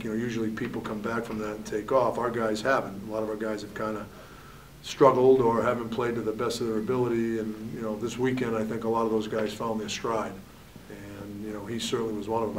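A man's voice: fairly low pitch, little pitch movement, quick pace, far from the mic, slight background noise, rough recording. A man in his forties, a head hockey coach, answering questions candidly at a postgame press conference and often saying "you know". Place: press room at a backdrop. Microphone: table mic, at a distance.

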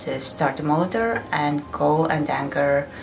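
A young woman chatting cheerfully in a slow, relaxed way for a sailing vlog, filmed indoors on a handheld camera. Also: deep voice, creaky, noisy background. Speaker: sailing vlogger. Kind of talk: casual vlog chat. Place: indoors, cabin and rented bedroom.

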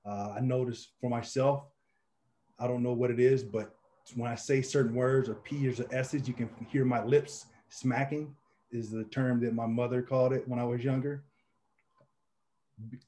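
A man in his thirties speaks with an Indiana accent and a dark, mellow tone. He gives calm, measured advice at a middling pitch. He is on a video call from a small home office. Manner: relaxed, measured advice-giving. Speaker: meetup organizer. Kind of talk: casual video call chat.

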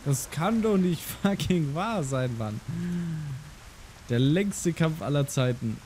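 A man's voice: a little high, expressive, slow pace, noisy background. A young man giving casual, goofy commentary over a game and singing silly rhymes. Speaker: let's play host.

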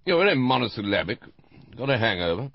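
A man's voice: mid-range voice, sing-song, fast talker, gravelly, no room sound, muffled recording, pitched above the performer's own voice. Man playing a blunt, avuncular British colonel. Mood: brisk, probing. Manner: blunt, teasing, emphatic. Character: gruff, avuncular older British colonel, a needling intelligence chief.

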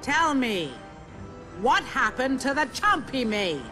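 A woman playing a haughty, scheming golden queen with an imperious manner in an animated game. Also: high voice, music in the background, exaggerated voice. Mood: commanding. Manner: imperious, deliberate. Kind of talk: animated game cutscene villain acting.

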